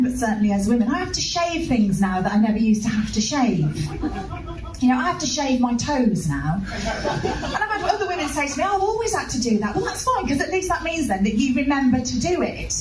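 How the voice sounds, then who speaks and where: Animated female stand-up in echoey room. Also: sits high, normal speed. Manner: confessional, animated storytelling. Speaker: stand-up comedian. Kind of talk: stand-up routine. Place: live comedy venue. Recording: echoey, noisy live room, distant mic.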